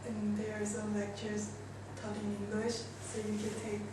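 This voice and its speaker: A young woman from South Korea with a Korean accent, presenting informally as an exchange student in careful, halting short phrases. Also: medium pitch, monotone. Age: young adult. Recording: very echoey noisy room, distant mic.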